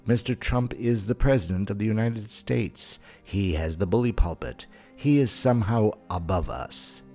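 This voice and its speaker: A man hosting a podcast, delivering measured, wry scripted commentary with rhetorical turns. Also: lowish voice, expressive, warm tone. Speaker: podcast host. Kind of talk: scripted commentary over audio clips. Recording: music bed under voice. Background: instrumental music.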